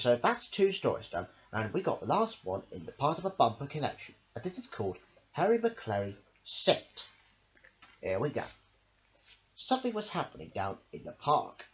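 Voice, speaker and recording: Animated man reading a children's story. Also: mid-range voice. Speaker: amateur storybook reader. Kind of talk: rhythmic children's story read-aloud. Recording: dull lo-fi recording, faint noise.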